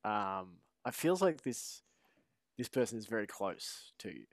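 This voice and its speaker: A man's voice: fairly low pitch, sing-song, a little slow, no echo, studio quality. A man co-hosting a sports podcast, chatting playfully and reading a story aloud. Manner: playful, laughs often. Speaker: podcast co-host.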